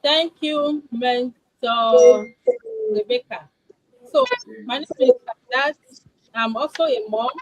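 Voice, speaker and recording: A woman with a fairly high voice presents herself to an online audience. Her livestream introduction sounds very dry, with no room echo. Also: sing-song, takes it slowly, pauses often. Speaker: livestream presenter and business owner.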